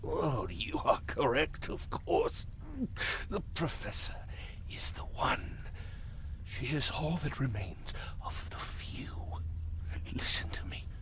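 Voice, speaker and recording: An actor playing a grave male doomsday prophet in a video game, theatrical and halting, with breathy speech that drops into whispers. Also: mid-range voice, lively intonation. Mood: fervent, urgent. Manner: theatrical, halting, cryptic, formal. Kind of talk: dramatic video game voice acting.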